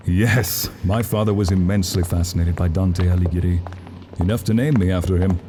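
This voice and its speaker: A composed demon prince, as a man acts him out, deep-voiced and dry. He delivers his lines with wry understatement. Clear hiss runs underneath. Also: fairly even intonation, fairly quick, lightly acted.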